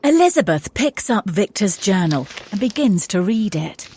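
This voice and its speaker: A woman's voice: medium pitch, sing-song, normal speed, warm tone, slight background noise. A woman reading scripted narration for an audio drama as its storyteller.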